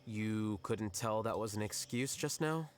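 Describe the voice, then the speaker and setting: A man playing an aloof, self-assured scholar with a dry, deadpan manner in a video game. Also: on the low side, normal speed, very noisy, lightly acted. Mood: dry, dismissive.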